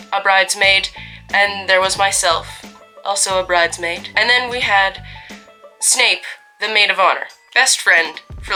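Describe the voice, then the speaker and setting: A woman's voice: medium pitch, bright, forceful tone, poor audio. A young woman from Canada telling a casual story-time vlog in a chatty, wry, self-deprecating way while getting over a cold.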